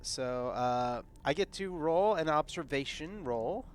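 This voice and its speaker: A man's voice: on the high side, lively intonation, slow pace, clean tone. Man playing a tabletop roleplaying game. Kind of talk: casual game-table talk.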